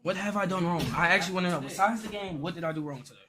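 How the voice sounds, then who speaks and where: A man's voice: high voice, racing, over a noisy crowd. An eighteen-year-old man streaming live, frustrated and self-deprecating, swearing as he talks to his chat. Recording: noisy stream clip.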